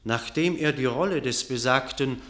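A man plays a formal chronicler in a radio play. His clear-cut, bright voice sits at a medium pitch and delivers scripted narration in a measured, grave way. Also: natural voice. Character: formal chronicler announcing a historical death.

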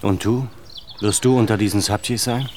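Man playing a low-voiced, composed gentleman. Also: steady tone, lightly acted. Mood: wary, questioning. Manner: measured, deliberate questioning. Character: composed, probing Armenian gentleman, addressed as effendi. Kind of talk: radio drama acting. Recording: faint noise behind.

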